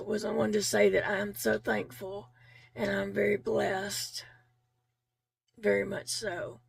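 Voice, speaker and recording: Raspy, gravelly-voiced woman vlogger. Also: medium pitch. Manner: earnest, grateful, repeats words with fillers. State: losing her voice. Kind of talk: casual heartfelt chat to viewers.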